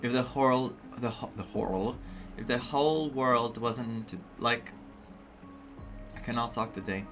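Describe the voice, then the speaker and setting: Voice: medium pitch, a little slow. A streamer from Greece giving casual gameplay commentary in a chatty, reactive way with hesitant phrasing. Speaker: gaming streamer. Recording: game music under the voice.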